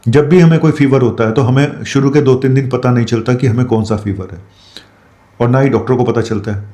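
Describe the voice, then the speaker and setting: Mellow-voiced doctor explaining health tips. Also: male voice, mid-range voice. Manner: explanatory, addresses viewers directly with frequent fillers. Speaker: doctor giving health advice. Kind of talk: direct-to-camera health talk.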